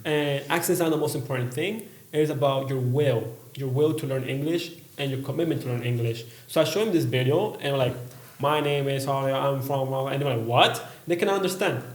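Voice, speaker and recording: A man, an English teacher talking earnestly on a podcast and pausing often with uhs. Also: mid-range voice, roomy sound. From USA. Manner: earnest, halting, many uhs. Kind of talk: casual podcast chat.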